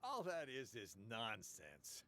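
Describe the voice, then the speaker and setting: A man's voice: mid-range voice, wildly swooping pitch, slow pace, a bit bright, a little hiss or hum, full character voice. A man playing a testy, pompous theatre manager in a radio drama, emphatic and dismissive. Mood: dismissive, indignant. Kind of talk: interactive radio drama acting.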